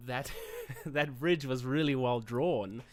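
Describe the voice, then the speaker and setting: A man's voice: mid-range voice, slow. A young adult man with a South African accent and Afrikaans as his first language, hosting a casual livestream and rambling between topics with fillers and self-corrections. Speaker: channel host.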